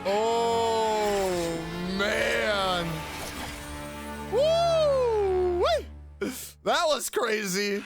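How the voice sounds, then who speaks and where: A streamer giving casual, slangy reaction commentary on a game, with excited exclamations. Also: mid-range voice, very slow, loud music behind the voice.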